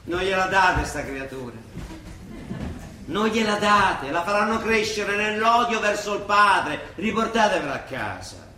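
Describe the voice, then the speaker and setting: An actor playing a rebellious, disillusioned middle-aged priest in a radio drama, wry and plain-spoken with a Roman accent. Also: male voice, sits high, very animated, unhurried, pushed and bright, exaggerated voice. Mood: sardonic, bitter. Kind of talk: Italian stage-style radio drama. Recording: echoey stage recording, distant mic, hiss.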